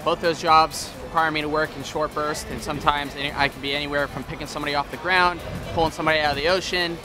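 Fast, flat-toned young man. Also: a little high. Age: 20s. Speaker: gym member. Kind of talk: promotional testimonial. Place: indoor gym by open garage door. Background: music.

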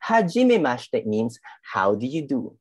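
A woman's voice: a bass voice, big pitch swings, normal speed, darkish tone, husky. A woman from the Philippines teaching beginner Japanese in a mock interview over a video call, patiently repeating phrases and encouraging her student.

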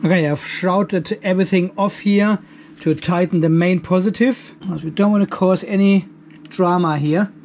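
An older German-accented man talks through practical work in a garage. His voice sits a little higher than most men's. He is informal and self-deprecating, thinking aloud as he goes. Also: steady tone, moderate pace, darkish tone, slight background noise. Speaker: DIY video host. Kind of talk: casual hands-on workshop narration. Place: cluttered garage workshop.

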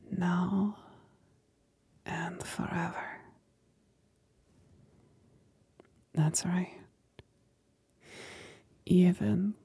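Woman acting as a slow, whispering demoness. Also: on the low side, mellow, no echo, exaggerated voice. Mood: possessive, solemn. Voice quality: breathy whisper. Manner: slow, deliberate, soothing yet domineering. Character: possessive female demon companion, seductive, commanding, coaxing. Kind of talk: whispered ASMR roleplay.

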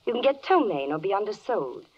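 A charming, poised spokeswoman in an old radio play. She recites verse lyrically with lively swings of pitch, in the dull sound of a 1940s broadcast. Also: fairly quick, slightly rough. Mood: wry, reciting. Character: poised, charming female spokeswoman for animal kingdom.

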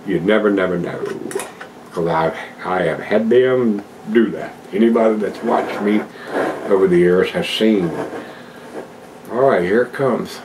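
A man's voice: lowish voice, a little slow, noisy background. An older man at home casually reviewing a beer, chatting to his viewers in a folksy way. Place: home room with a desk and plants, indoors.

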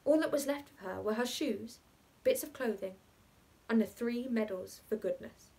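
A young woman narrates a short story from a script. Her voice sits fairly high and her reading is expressive, like an actor's, captured in a small room. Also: normal speed, vocal fry. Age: young adult.

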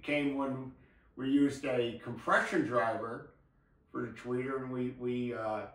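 A man's voice: medium pitch, unhurried. Older man in an echoey room. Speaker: co-host of an audio hobby show. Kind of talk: casual technical chat. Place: indoor room with table and blinds. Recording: roomy, echoey, distant mic.

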